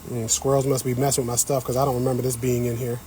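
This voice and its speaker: A man's voice: medium pitch, level tone, quick pace, dark tone, slight background noise. A man hosting a casual gardening livestream, chatting with viewers as he works the soil on an outdoor patio. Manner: chatty, talks to viewers while working the soil. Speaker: host.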